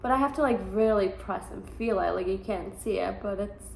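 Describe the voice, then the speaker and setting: A young woman chats through a casual vlog update, rambling with plenty of fillers. Her voice is mid-pitched with a lot of vocal fry. The room sounds echoey, with a faint hiss underneath. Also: fairly quick, darkish tone. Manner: rambling, chatty, heavy use of fillers. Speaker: vlogger.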